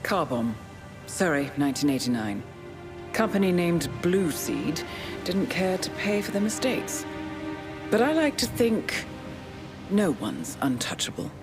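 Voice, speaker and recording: An actress playing a cool, composed intelligence handler in a video game cutscene, speaking in a poised, dry, measured way. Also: female voice, lowish voice, very animated, over music. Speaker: mission handler. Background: orchestral score.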